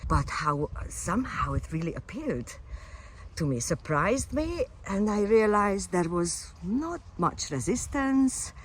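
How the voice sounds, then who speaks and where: A woman's voice: medium pitch, very animated, a little slow, slight background noise. A Hungarian woman, a fitness coach, talking casually and unscripted to camera, with frequent fillers and restarts. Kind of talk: casual personal vlog to camera.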